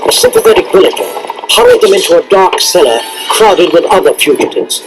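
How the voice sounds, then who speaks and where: A man narrates an adventure storybook in a fairly high voice. His delivery is scripted and dramatic, and loud music plays behind him throughout. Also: wildly swooping pitch, fairly quick, rough recording. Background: loud orchestral music and sound effects.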